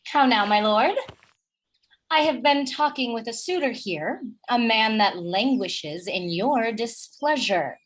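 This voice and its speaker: A woman playing an earnest, affectionate, persistent noble wife in a Shakespearean radio play, expressive and pleading. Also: fairly high pitch, takes it slowly, poor audio. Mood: pleading, coaxing.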